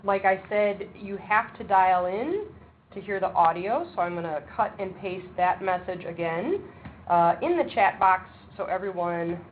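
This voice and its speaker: Woman chairing a webinar, brisk and practical, heard over a thin phone line with faint hiss. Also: medium pitch, expressive. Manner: says she has a loud voice; brisk, practical, with frequent ums. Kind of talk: webinar presentation. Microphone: speakerphone on a table. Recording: tinny phone line with faint background noise.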